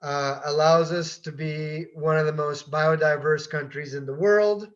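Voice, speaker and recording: Halting man presenting slides, dull lo-fi sound. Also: fairly high pitch, fairly even intonation. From Colombia. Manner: halting, many fillers and repeated words. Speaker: bird tour operator presenting.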